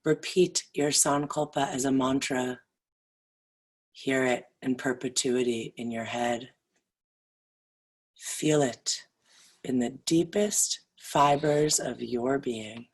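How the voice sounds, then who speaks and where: A woman leading a guided yoga nidra meditation in a soothing, deliberate way, leaving long pauses between phrases. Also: rumbling low, steady tone, rough recording.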